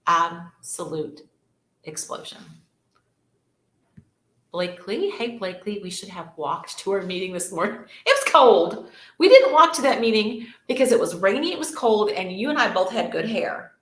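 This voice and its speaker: A woman's voice: medium pitch, sing-song, moderate pace, a bit bright, echoey room. A woman, a real estate agent chatting casually and upbeat to livestream viewers, repeating words for emphasis.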